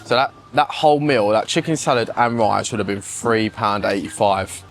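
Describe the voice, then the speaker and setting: A man's voice: lowish voice, normal speed, faint music behind. A man talking casually to camera as a fitness vlogger, chatty and blunt, swearing now and then. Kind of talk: casual vlog chat.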